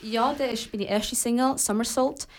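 A woman's voice: on the high side, steady tone, moderate pace. A young woman singer-songwriter with a Swiss German accent, English her first language, explaining her song hesitantly with many fillers and English words mixed in. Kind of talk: casual radio interview.

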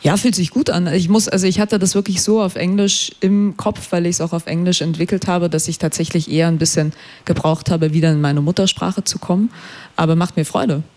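A young man, a performing artist, answering a radio interview thoughtfully, pausing often to find his words. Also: sits high, fairly even intonation, darkish tone. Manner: thoughtful, searching for words, many fillers. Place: radio studio.

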